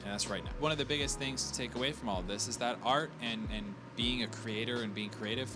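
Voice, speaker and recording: An encouraging man in his thirties talks straight to viewers about creating art, earnest and reassuring. Clear music plays underneath his voice, and his pitch sits in the middle range. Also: quick pace. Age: 30s. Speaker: digital artist sharing advice. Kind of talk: motivational talk to viewers.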